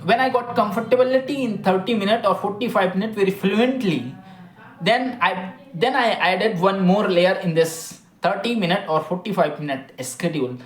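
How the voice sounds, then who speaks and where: A woman's voice: medium pitch, reverberant, a little hiss or hum. A woman with an Indian English accent and Hindi as her first language, talking casually to camera with many restarts and small grammar slips. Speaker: vlogger.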